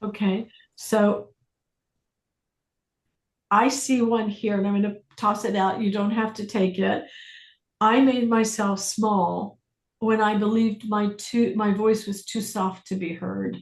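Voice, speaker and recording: A woman facilitating a self-inquiry class, speaking deliberately with pauses, questions and phrases repeated for emphasis. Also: medium pitch, steady tone. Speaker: workshop facilitator leading a group class. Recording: roomy echo on the voice.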